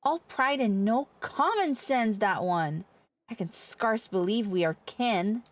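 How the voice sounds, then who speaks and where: A woman's voice: medium pitch, lively intonation, slow. A woman voicing a worried, exasperated older sister in a fantasy game, reading the dialogue with formal, old-fashioned phrasing. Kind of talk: reading game quest dialogue aloud in character.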